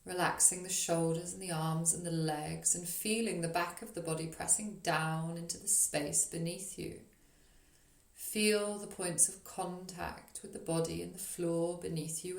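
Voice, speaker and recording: A woman leading a guided meditation as a yoga nidra teacher, speaking in a soothing, gentle, unhurried way with long pauses. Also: fairly low pitch, little pitch movement, vocal fry. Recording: roomy echo on the voice.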